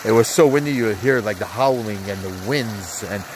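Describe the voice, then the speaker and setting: A man's voice: fairly low pitch, a little fast, noisy background. A congested man with a sore throat, casually rambling through his weekly football picks with lots of fillers, talking from an outdoor hot tub. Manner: rambling, many fillers, hyped asides. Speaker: sports betting vlogger. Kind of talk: casual NFL picks rundown.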